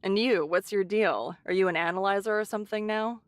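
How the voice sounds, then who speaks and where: A woman voicing a caring, brisk shelter leader who scolds in a motherly, teasing way, in an English anime game. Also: mid-range voice, no echo, natural voice.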